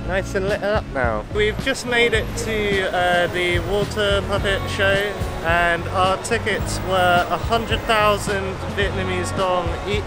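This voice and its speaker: High-pitched man vlogging outdoors. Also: fairly even intonation, takes it slowly, hardly any pauses. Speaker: travel vlogger. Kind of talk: casual chat to camera. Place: outdoors on city streets at night. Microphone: camera at arm's length. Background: music, street and vehicle sounds.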